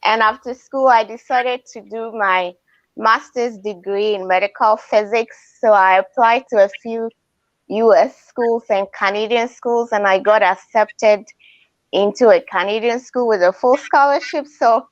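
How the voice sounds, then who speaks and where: Soft-spoken Ghanaian woman on a video call. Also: a little high, measured pace, no room sound. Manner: calm, soft-spoken, light laughter. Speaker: guest. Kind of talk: casual video interview. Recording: dry, dull video call audio.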